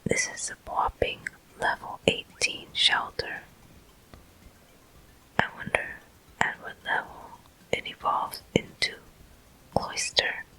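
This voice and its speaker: Slow whispered ASMR game commentator. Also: low-quality recording. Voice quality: breathy, unvoiced whisper. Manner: slow, gentle, deliberate delivery. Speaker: host of a gameplay walkthrough.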